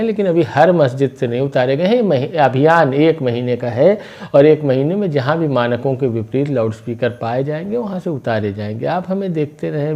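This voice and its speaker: Male local news presenter, soft-edged mellow tone, mid pitch, explains step by step conversationally.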